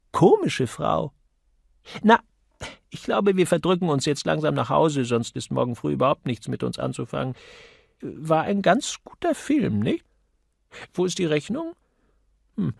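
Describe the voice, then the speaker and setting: A man voicing a gossipy, opinionated young female typist in an audiobook, with lively, emphatic delivery. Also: sits high, gravelly, no room sound, studio quality, voice pushed up, full character voice. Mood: brisk, chatty. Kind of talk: audiobook character voice.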